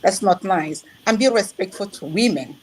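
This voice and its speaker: A woman's voice: medium pitch, animated, normal speed, slight background noise. A woman from Nigeria who lives in Germany, calling in to a talk show and firmly defending her accent, in earnest. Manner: earnest, firm, defending herself. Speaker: caller. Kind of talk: talk-show call-in, speaking English and German.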